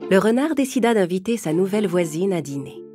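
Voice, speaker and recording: A woman's voice: mid-range voice, sing-song, brisk, music in the background. A woman narrating a children's story in a scripted, carefully phrased storytelling style.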